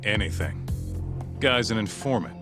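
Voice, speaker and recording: A man's voice: fairly low pitch, animated, measured pace, over music. A man playing a streetwise, casual fixer in a video game cutscene, blunt, slangy and offhand. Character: streetwise, casual male fixer, a savvy associate.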